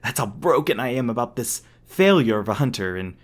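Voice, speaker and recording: A man's voice: mid-range voice, big pitch swings, fairly quick. A man playing a smug, sadistic corporate villain in an audio roleplay, taunting with sarcastic, mock-polite manners. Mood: mock hurt. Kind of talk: ASMR audio roleplay acting.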